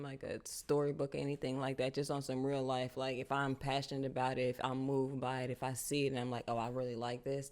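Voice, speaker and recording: Animated young woman chatting casually. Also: a bass voice. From United States, Charlotte. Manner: animated, casual slang, frequent "you feel me". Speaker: guest artist. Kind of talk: casual interview chat.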